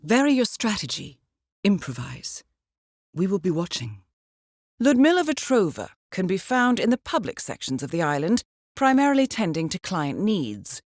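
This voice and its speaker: A woman's voice: mid-range voice, sing-song, moderate pace, a little mellow, no echo. A woman playing a composed intelligence handler in a video game, briefing an assassin formally and with dry wit. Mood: cool, businesslike. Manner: composed, formal, dryly witty. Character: poised female intelligence handler briefing an assassin, cool and wry. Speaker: mission handler.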